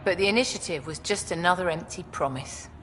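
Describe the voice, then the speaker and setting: Tough actress as female gang leader from a game, lowish voice, curt and threatening, big echoey reverb. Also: fairly quick, a bit bright, a little hiss or hum, lightly acted. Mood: bitter, proud. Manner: blunt, menacing, curt. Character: hardened, short-tempered female outlaw leader, ex-security officer. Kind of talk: video game cutscene acting.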